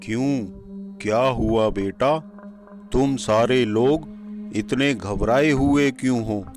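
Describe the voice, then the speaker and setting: A man voicing a calm, fatherly village holy man in a cartoon story. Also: medium pitch, slow pace, over music, lightly acted. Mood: concerned. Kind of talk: Hindi cartoon character dialogue.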